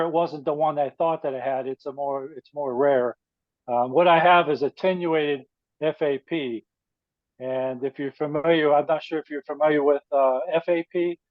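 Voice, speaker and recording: A man's voice: on the high side, no echo. Plainspoken man in his fifties, muffled. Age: 50s. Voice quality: measured, plainspoken, with frequent pauses and fillers. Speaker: guest speaker. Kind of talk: personal talk to a support group.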